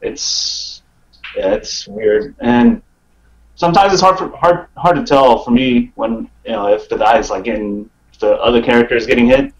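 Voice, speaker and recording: A man's voice: mid-range voice, normal speed, highs cut off. A man chatting casually as a gaming podcast guest, putting his thoughts out hesitantly in short pieces. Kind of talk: casual chat.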